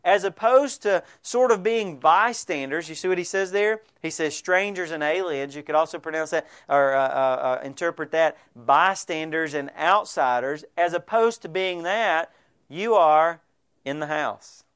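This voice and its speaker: A man's voice: a little high, unhurried, a bit bright, clean tone. A male pastor preaching a sermon, earnest and direct, addressing the congregation with frequent rhetorical questions.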